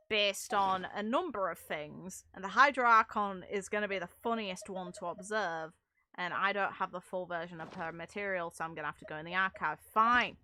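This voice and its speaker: A woman's voice: medium pitch, sharp tone. A young adult woman giving casual, rambling game commentary in an informal, amused way, as a streamer.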